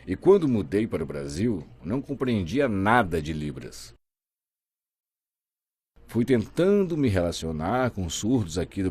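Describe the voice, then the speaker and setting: A man voicing a deaf guest's signing in the first person, telling his story in a lively, expressive way. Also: mid-range voice, husky. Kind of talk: simultaneous voice interpreting, first person.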